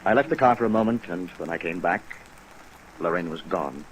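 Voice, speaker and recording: An actor playing a polite, evasive company president in a 1950s radio drama, speaking formally and hesitantly with a rueful air. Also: male voice, low voice, brisk, poor audio. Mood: rueful, reticent. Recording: vintage radio recording under rain noise. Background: steady rain sounds.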